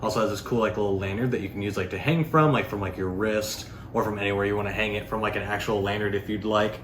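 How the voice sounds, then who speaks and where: A man's voice: fairly low pitch, fairly even intonation, fast talker. A man giving a casual, unscripted product demonstration in a rambling, informal way, peppered with filler words. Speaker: product reviewer. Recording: echoey room with faint background noise.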